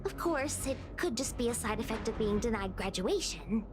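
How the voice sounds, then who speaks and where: Woman as young game slacker, high and animated, casual, wry, rambling, music underneath. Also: moderate pace, lightly acted. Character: laid-back young female diviner, chatty slacker. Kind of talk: video game cutscene acting.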